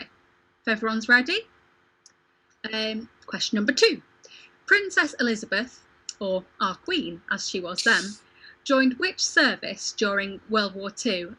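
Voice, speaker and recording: A woman's voice: mid-range voice, sharp tone, poor audio. A woman running a casual quiz on a livestream as quizmaster, playful and jokey. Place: home video call.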